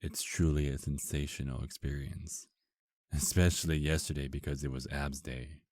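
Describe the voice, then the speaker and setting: Guy doing a vampire husband for romance asmr, super low voice, tender n teasing, real close dry sound. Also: little pitch movement, a little mellow, no echo. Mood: tender, cozy. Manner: tender, flirty, slow and murmured. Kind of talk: intimate ASMR romance roleplay.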